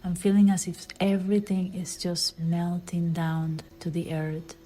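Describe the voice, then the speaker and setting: Slow, mellow woman guiding meditation. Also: on the low side, little pitch movement. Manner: soft, soothing delivery with breathy, near-whispered phrase endings. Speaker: meditation guide. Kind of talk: guided meditation. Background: background music.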